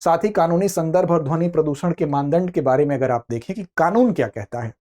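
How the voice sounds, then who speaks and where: This is a current affairs lecturer with a soft, mellow tone. The delivery is didactic and explanatory, often asking a question and then answering it. Key points get repeated and rephrased for students. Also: mid-range voice, fast.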